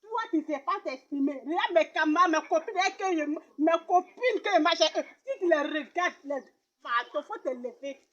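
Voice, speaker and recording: A scornful, fiery poor young woman, played by a woman with a very high voice. Her speech often breaks between sobs and laughter. The recording sounds dull and muffled. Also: lively intonation, moderate pace.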